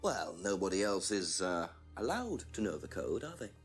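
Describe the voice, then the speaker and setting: A persistent police inspector in a live-action game. He has a low voice and asks dry, skeptical, pointed questions. Quiet music plays underneath. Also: sing-song, normal speed, husky, exaggerated voice. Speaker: actor in a mystery game.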